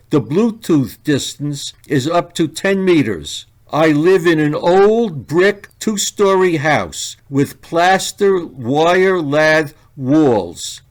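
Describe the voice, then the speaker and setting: A man reading a scripted product review in a deliberate, plainly enunciated way. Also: fairly high pitch. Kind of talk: scripted narration.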